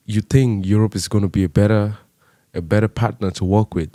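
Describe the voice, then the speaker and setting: Young man from Cameroon, vlogger; fervent rhetorical commentary, warm low voice. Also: no room sound, clean studio sound. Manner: impassioned, rhetorical questions, rising pitch when stressed. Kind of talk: commentary monologue.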